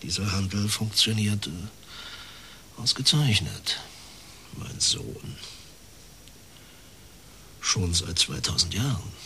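Low-voiced, suave older clergyman. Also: lively intonation, sharp tone, a little hiss or hum, heavily acted. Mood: unctuous, cynical. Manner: measured, formal, unctuous delivery. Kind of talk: radio crime drama acting.

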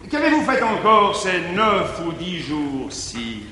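Jealous middle-aged guardian, pompous, old roomy live recording with faint hiss. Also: male voice, high-pitched, huge pitch swings, sharp tone, heavily acted. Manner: pompous, measured verse with sudden swells. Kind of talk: 1950s stage verse comedy.

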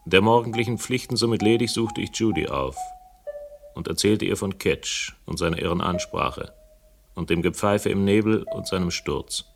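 An actor playing a wry, world-weary detective who narrates a radio crime play in a dry, sardonic, wordy way. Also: male voice, low-pitched, normal speed, vocal fry, music in the background. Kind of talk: German radio crime drama acting and first-person narration.

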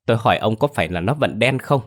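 A man voicing a sharp-tongued elderly mother-in-law who argues in a whiny, defensive way. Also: animated, quick pace, lightly acted. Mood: scornful. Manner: shrill, whiny, argumentative.

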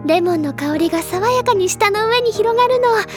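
A woman playing a cheerful, dreamy teenage schoolgirl who loves literature, expressive and teasing, in a Japanese audio drama. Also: very high-pitched, a little fast, music in the background. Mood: dreamy delight.

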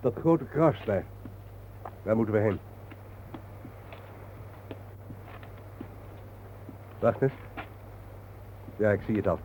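An actor playing a grave, world-weary man guiding his friend through war cemeteries in a solemn, measured, sardonic radio-play manner. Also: lowish voice, animated, dark tone, a little hiss or hum. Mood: quietly purposeful. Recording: muffled phone-like old radio recording, reverberant.

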